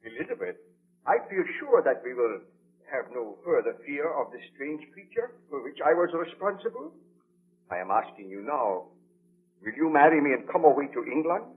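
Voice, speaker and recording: A muffled old radio recording carries a haunted, impassioned scientist baron. His delivery is theatrical and formal, swinging widely in pitch in the 1930s radio drama manner. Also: big pitch swings, normal speed, slightly rough. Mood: ardent, hopeful.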